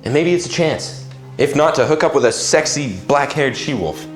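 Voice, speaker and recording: A man's voice: mid-range voice, lively intonation, moderate pace, faint music behind, lightly acted. A man voicing a loyal, easygoing male werewolf beta who talks in a casual, persuasive, teasing way in an audio drama.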